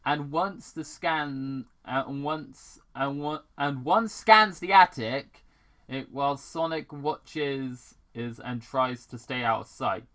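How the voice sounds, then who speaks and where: A man reading a story aloud as an amateur narrator, halting and stumbling over his words, with casual asides. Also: mid-range voice, pushed and bright. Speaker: reader of fan fiction.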